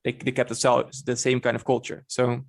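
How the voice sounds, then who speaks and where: A man's voice: mid-range voice, monotone, a little fast, gravelly. A man being interviewed in casual conversation, talking hesitantly with many restarts and fillers.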